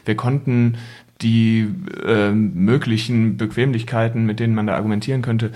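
A man's voice: fairly low pitch, fairly even intonation, normal speed, a little mellow. A young man chatting as a podcast guest in a wordy, carefully phrased way. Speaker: podcast guest, grown-up son. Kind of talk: casual chat.

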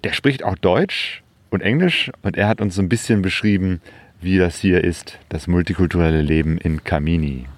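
Low-voiced male vlogger outdoors. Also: normal speed. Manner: relaxed, filler-heavy talk. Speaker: travel vlogger. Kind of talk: casual travel vlog narration.

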